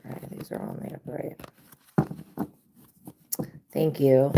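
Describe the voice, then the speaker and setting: A woman tarot reader hosting a live stream in a casual monologue, with a hoarse, worn-out voice and a blunt, profane way of talking. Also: rumbling low, little pitch movement, takes it slowly, noisy background. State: hoarse, lost voice.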